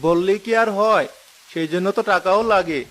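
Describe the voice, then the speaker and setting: A man as a high-voiced, easygoing cartoon husband. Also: normal speed, a bit bright. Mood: grumbling, defensive. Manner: easygoing, teasing. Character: lazy, teasing village boatman husband and father. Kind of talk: cartoon story dialogue.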